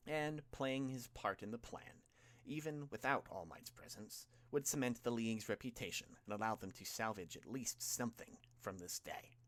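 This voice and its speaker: A man's voice: mid-range voice, normal speed, a bit bright. A man narrating a scripted story as an audiobook reader. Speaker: audiobook reader of fan fiction.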